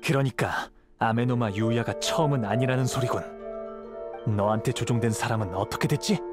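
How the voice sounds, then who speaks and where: A calm, perceptive young wandering samurai played by a man from a video game. He speaks in a mid-pitched voice, serious and measured, over faint music. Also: natural voice. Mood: serious, deducing. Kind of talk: anime-style video game voice acting.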